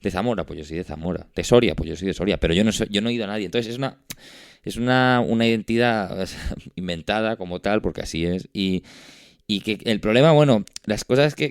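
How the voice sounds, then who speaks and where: Low, clear-voiced Castilian Spanish man. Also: no room sound, studio quality. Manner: explanatory, often checking with tag questions. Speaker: podcast host. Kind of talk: conversational discussion.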